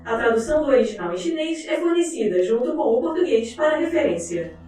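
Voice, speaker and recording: A woman's voice: high voice, very animated, quick pace, darkish tone. A woman reading a scripted document in a formal, clinical manner. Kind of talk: scripted narration of a fictional document. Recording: echoey, distant, with music behind. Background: music.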